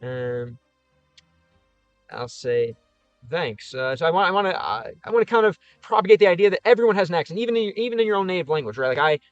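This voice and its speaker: A man with a Chicago Midwest accent rambles through viewer comments on a livestream. He thinks out loud, piling up fillers and false starts. The mic is close and dry, the sound a little dull, with faint music underneath. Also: sits high, big pitch swings, quick pace, smooth, no echo. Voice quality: slightly whiny vowels. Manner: rambling, thinks aloud, many fillers and restarts. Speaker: streamer and game creator. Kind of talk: livestream, reading and answering comments.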